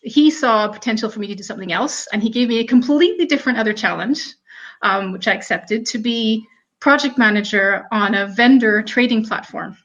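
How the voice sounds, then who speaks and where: A woman's voice: a little high, brisk, fairly bright tone. Canadian woman telling her career story. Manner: conversational, frequent ums and "you know". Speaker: panel speaker. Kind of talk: personal career story talk. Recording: muffled lo-fi audio.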